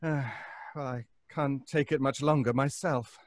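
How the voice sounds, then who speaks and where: A man acting a nervous, scheming fellow in an old-time radio suspense play, with a halting, stammering, pleading delivery. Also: mid-range voice, fairly even intonation, a little mellow, no room sound, clean studio sound, lightly acted. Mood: anxious, weary. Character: nervous, obsessive, scheming middle-class man.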